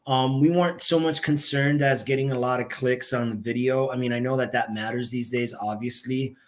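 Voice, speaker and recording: A young adult man talking about his band as an interview guest, relaxed and rambling, with many fillers and restarts. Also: mid-range voice, a little fast. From Miami, Florida. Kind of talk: casual interview talk.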